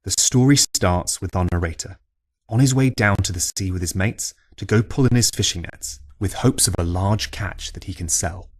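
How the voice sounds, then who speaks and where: A man's voice: deep voice, moderate pace, husky. A man reading scripted local history as an audiobook narrator, with a wry storytelling manner.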